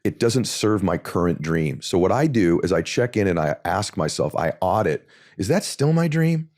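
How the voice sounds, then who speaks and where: A man with a deep voice, a guest in an interview, telling a personal story earnestly and building to impassioned emphasis. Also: sing-song, normal speed, a little mellow, no echo, clean studio sound.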